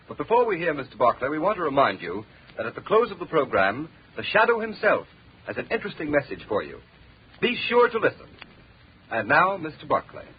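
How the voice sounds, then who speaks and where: Lively, highish-voiced male radio announcer. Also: fairly quick, breathy edge. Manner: polished, formal, emphatic. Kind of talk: 1930s scripted radio announcing. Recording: dull, faintly noisy 1930s radio.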